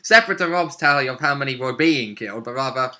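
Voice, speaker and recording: A man's voice: medium pitch, expressive, quick pace, pushed and bright, slight background noise. A man co-hosting as a player, bantering casually about a tabletop game. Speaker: co-host and player.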